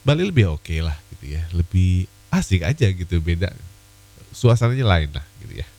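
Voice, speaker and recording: A man hosting an evening radio request show, a little hoarse from a cold, chatting casually with many fillers. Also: low-pitched, big pitch swings, normal speed, dark tone. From Indonesia.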